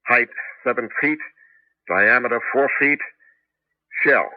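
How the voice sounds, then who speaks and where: A man playing an eager, confident grandson and home inventor in a radio drama, brisk, persuasive and excitable. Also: medium pitch. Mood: patient, instructing. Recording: dry, tinny 1980s radio broadcast.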